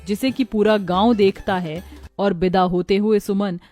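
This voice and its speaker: A woman narrating a children's cartoon story in a gentle, storytelling way. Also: mid-range voice, fairly even intonation, measured pace, faint music behind.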